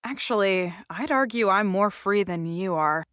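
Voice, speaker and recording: A frank, playful servant in an audio drama. She talks casually and bluntly in a mid-pitched voice, recorded close and dry. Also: expressive, a little slow, a bit bright, smooth, no echo. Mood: frank, sassy. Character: frank, sassy, contented human female attendant.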